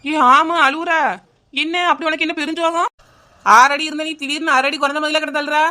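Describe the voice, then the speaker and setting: A woman's voice: high-pitched, little pitch movement, a little fast, bright, forceful tone. A woman playing a blunt, impatient village neighbour in a comic cartoon, with a southern Tamil Nadu accent. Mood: teasing. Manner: blunt, scolding.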